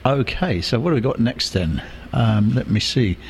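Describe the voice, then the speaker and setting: A man's voice: medium pitch, lively intonation, normal speed, gravelly, a little hiss or hum. A man presenting a request radio show, chatty and full of fillers, with a cough and a slight wheeze.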